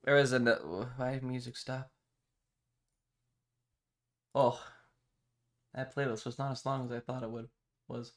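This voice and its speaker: A man's voice: mid-range voice, little pitch movement, measured pace, long pauses. A man chatting casually on a livestream to his viewers, rambling and playfully dramatic. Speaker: live streamer.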